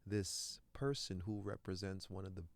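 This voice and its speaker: A man's voice: sits low, normal speed, dry recording. A man from Canada whose first language is French, a guest actor speaking earnestly in an interview, often repeating words as he searches for them. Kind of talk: reflective interview talk.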